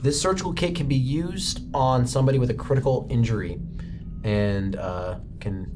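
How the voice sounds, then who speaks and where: A man's voice: medium pitch, moderate pace, background noise. A man working as game master, narrating a tabletop game in a conversational style. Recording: music playing behind the voice.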